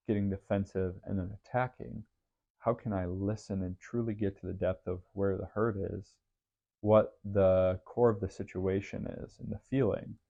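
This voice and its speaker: Male podcast host, low and deep, earnest and reflective, slightly dull audio. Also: creaky. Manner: earnest, faith-based, thoughtful. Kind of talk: reflective solo podcast monologue.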